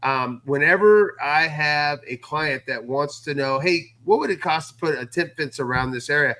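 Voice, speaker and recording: A man speaking as guest co-host and fence contractor in a casual recap chat, with a halting delivery that repeats words. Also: a little high, bright, forceful tone.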